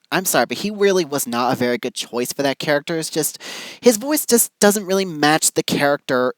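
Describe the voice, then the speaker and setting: A video reviewer of unclear sex giving informal, opinionated commentary to viewers in a halting, emphatic way. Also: medium pitch, dry recording, rough recording.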